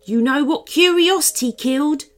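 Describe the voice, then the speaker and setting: Woman as a skeptical, high-pitched tomcat. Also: sing-song, slow pace, heavily acted. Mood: sarcastic, wary. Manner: exasperated, sarcastic. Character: skeptical, grumbling male cat, easily exasperated.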